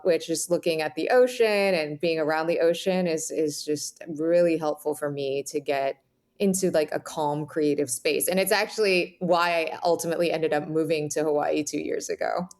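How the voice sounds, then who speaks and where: A woman's voice: low-pitched, steady tone, moderate pace, darkish tone. A woman speaking as a podcast guest, reflective and conversational, with casual phrasing.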